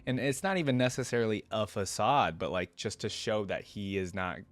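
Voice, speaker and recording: A man's voice: lowish voice, a little fast. A man hosting a reaction video, giving casual commentary and rambling as he thinks aloud.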